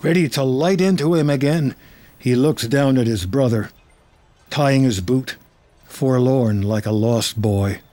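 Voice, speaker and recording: Slow, measured male narrator. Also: mid-range voice, dark tone, no room sound. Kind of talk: scripted screenplay narration, present tense.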